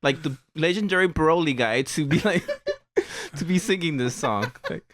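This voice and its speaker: A man's voice: a little high, lively intonation, moderate pace, fairly bright tone. A man co-hosting a podcast with a Southern Californian accent, chatting casually and rambling through a story while laughing at it. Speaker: podcast co-host. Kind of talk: casual chat.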